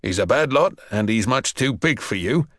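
A man voicing a brisk, indignant but protective male PE teacher in a storybook, with a hectoring manner. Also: mid-range voice, expressive, no echo, clean studio sound. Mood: brisk concern. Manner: loud, scolding, hectoring.

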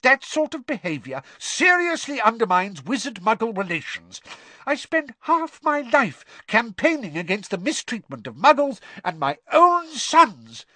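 A man voicing an irate middle-aged wizard father in an audiobook, shouting indignantly as he scolds his sons. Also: very high voice, sing-song, a little slow, no room sound, voice pushed up, full character voice. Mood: furious.

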